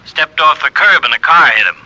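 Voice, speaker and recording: A man's voice: on the high side, animated, fairly quick, sharp tone, lo-fi sound. An actor playing a matter-of-fact man confiding case details in terse lines, in an old-time radio drama. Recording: vintage radio recording.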